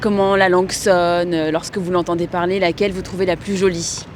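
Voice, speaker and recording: A woman host interviewing passers-by in the street and reading a promo, asking measured, clear questions. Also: fairly low pitch, level tone, a little mellow, slight background noise. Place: city street outdoors.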